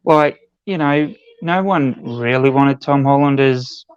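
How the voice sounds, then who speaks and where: A man's voice: mid-range voice, slow pace, smooth, no room sound, dull audio. A man co-hosting a podcast, airing his gripes and opinions in a casual, conversational way. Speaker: podcast co-host. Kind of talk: casual panel discussion.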